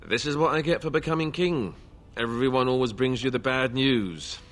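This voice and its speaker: A man's voice: mid-range voice, moderate pace, close to an everyday voice. A man acts out a young future king in a fantasy video game. He has a mid-range voice and a wry, joking manner. His delivery is conversational, sardonic and self-deprecating. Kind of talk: scripted video game dialogue acting.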